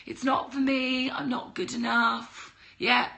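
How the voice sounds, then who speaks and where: A young woman with a cold and a croaky voice, chatting on a livestream and giving blunt mindset advice. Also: on the high side, level tone, slow pace, pushed and bright. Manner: chatty, greets viewers, blunt tough-love advice. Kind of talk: casual livestream talk to viewers.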